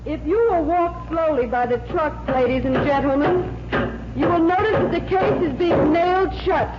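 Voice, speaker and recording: An actress playing a plucky, excitable female magician's assistant in 1940s radio acting style. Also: high-pitched, normal speed, loud music behind the voice, close to an everyday voice. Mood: announcing. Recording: muffled, noisy 1940s radio recording.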